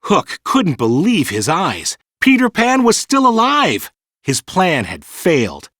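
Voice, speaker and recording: A man reading a children's storybook in an animated, dramatic storytelling manner. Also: high-pitched, slow, no echo, clean studio sound. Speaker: storybook narrator. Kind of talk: scripted children's storybook narration.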